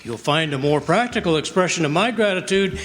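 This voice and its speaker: Guy doing big generous store boss, speechifying, high voice, radio play, echoey hall. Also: lively intonation, a little fast, a bit bright. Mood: pleased, expansive. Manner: declarative, expansive, speechifying. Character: grand, generous male department store owner. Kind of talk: stage radio drama acting. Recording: live hall reverb.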